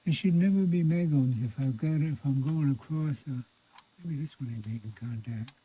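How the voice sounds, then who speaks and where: A man's voice: mid-range voice, husky. Man muttering through tinny, phone-like audio. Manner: informal, folksy, halting mutter while working. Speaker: radio repair hobbyist presenting own repair video. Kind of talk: hands-on repair demonstration, thinking aloud. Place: indoors at a repair workbench.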